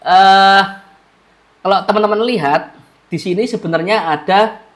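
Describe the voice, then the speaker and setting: A man's voice: sits high, a little slow, a bit bright. Male market analyst, congested nasal voice, casual repetitive walkthrough of charts. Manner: casual, repeats key phrases, addresses viewers as friends. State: stuffy-nosed, under the weather. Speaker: trading analyst.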